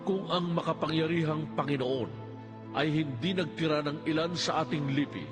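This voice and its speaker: A man voicing God and the prophets in dramatized scripture, solemn, deliberate and declamatory. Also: a little high, lightly acted. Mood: grave, proclaiming. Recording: music under the voice. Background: background music.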